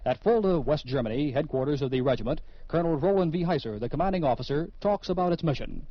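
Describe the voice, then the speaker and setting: A man's voice: a little high, expressive, fast, dry recording. A man with an American accent reading scripted radio narration and announcements as the host of a show. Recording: dull vintage radio recording with music. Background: music.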